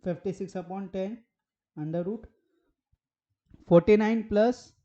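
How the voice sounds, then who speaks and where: Hoarse male maths teacher, mellow tone. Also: high-pitched, slow, lo-fi sound. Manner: works step by step, asking rhetorical questions. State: hoarse from a sore throat. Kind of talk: whiteboard maths lesson.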